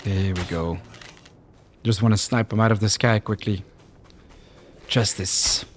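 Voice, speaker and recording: A man's voice: lowish voice, steady tone, unhurried, background noise. A man from the Netherlands with a Dutch-Flemish accent, chatting casually as he streams a game live, reacting to the action and reading viewer comments aloud.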